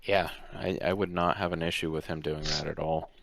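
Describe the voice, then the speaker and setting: A man's voice: rumbling low, fairly even intonation, a little fast, gravelly, a bit bright, no room sound. A man chiming in with short agreeing remarks as co-commentator in a casual chat. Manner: brief agreeing interjections. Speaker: co-host commentator.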